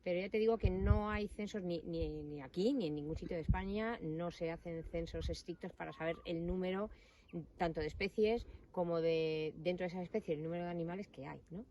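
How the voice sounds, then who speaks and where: A woman with a fairly low voice explains things in a careful, precise way. She sits well back from the mic outdoors, and noise is clearly heard behind her. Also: brisk, warm tone. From Madrid, Spain. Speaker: interview guest, naturalist. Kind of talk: explanatory interview talk. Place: outdoors in the countryside. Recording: distant mic, noisy outdoors.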